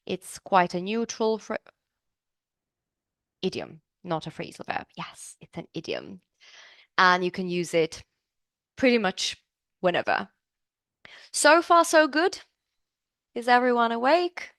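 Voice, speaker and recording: A woman's voice: medium pitch, big pitch swings, slow, pauses often, a bit bright, no room sound, clean studio sound. A woman from Serbia, teaching business English in a live online class, upbeat and chatty, asking the class questions.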